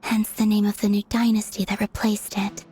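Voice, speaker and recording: A woman voicing a soft-spoken, learned woman in a video game, telling old history in a hushed, whispery way. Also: medium pitch, flat delivery, normal speed, natural voice.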